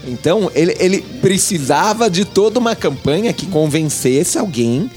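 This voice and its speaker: Lively, jokey man. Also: high voice, moderate pace, soft background music. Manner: animated, jokey storyteller. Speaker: podcast co-host. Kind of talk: casual chat.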